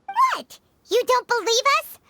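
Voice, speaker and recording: A woman voicing a small childlike fairy companion in a video game, squeaky, excitable and emphatic. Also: measured pace, dry recording, heavily acted. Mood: indignant, insistent. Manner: refers to herself by name, emphatic exclamations. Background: background music.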